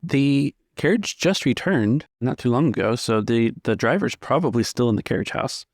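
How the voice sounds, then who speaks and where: A man's voice: mid-range voice, expressive, moderate pace, no room sound, studio quality. A man acting as game master, casually narrating and refereeing a tabletop game.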